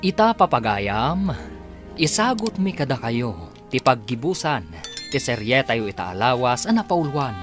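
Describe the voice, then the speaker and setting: A man's voice: medium pitch, very animated, a little slow, over music. A man formally announcing the title and credits of a radio drama. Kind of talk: scripted announcing.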